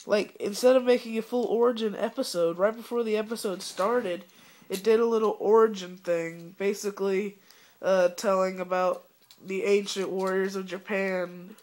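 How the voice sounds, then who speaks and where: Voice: medium pitch, fairly even intonation, normal speed, darkish tone. A young fan reviewer talks through a cold, with coughs breaking in. He rambles casually and fills the gaps with "uh" and "like". His tone stays disappointed the whole way. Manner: rambling, disappointed, many fillers. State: sick, coughing.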